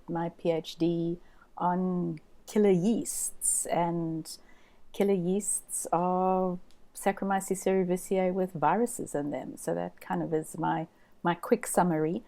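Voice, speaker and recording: A woman who grew up in Zambia and Zimbabwe, a scientist answering interview questions in a relaxed way, often repeating words as she thinks. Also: on the low side, slow pace. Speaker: guest scientist.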